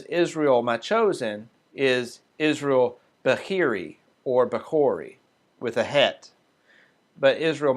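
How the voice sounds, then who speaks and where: A man teaching a Sabbath group, reading passages aloud slowly and then explaining them in a loose, casual way with fillers and restarts. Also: mid-range voice. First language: not Hebrew. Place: small group meeting room.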